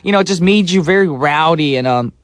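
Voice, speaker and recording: A man's voice: fairly high pitch, brisk, no room sound. A man hosting a radio music show, playful and chatty, sometimes breaking into sung bits and silly voices. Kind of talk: upbeat radio DJ patter. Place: radio studio. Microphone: studio microphone.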